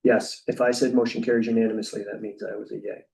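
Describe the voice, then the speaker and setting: A man chairing a town board meeting, calling votes in a brisk, procedural way. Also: lowish voice, flat delivery, gravelly, a little mellow. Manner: brisk procedural, routine phrasing. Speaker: first selectman chairing the meeting. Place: meeting room. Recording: voice from far off the mic.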